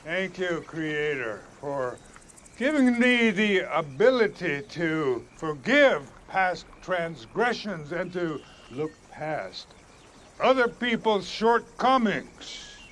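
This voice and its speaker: A passive-aggressive praying elder. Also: male voice, sits high, sing-song, fairly bright tone, slight background noise, exaggerated voice. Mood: pointed. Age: 70s. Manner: slow, pointed, passive-aggressive.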